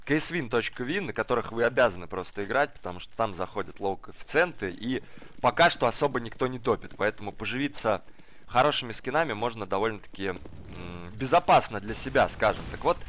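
A hoarse man commentating a live online game match in a casual, slangy way, speeding up during the action. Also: fairly low pitch, animated, fairly quick, fairly bright tone, a little hiss or hum. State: hoarse from the cold. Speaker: esports commentator. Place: cold flat at home.

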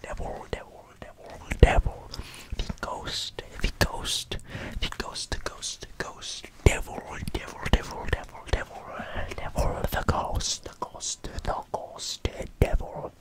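A man in his twenties whispering playfully into a close microphone in ASMR style, repeating words over and over. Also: takes it slowly. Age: 20s. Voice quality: breathy, unvoiced whisper. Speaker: ASMR video creator. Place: indoors in front of a green screen. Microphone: large desk microphone at close range.

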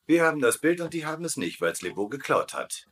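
An actor playing a wry, scheming prisoner colonel, a cool and persuasive leader with a husky voice in a dubbed military sitcom. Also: male voice, a little high, very animated, fast, a bit bright.

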